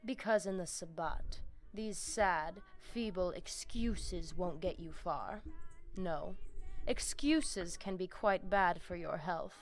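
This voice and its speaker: A woman's voice: lowish voice, slow. An actress playing a coldly imperious, zealous female vampire crime boss in a video game, speaking in formal, ominous, threatening phrases. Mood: menacing. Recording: music behind the voice. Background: game music.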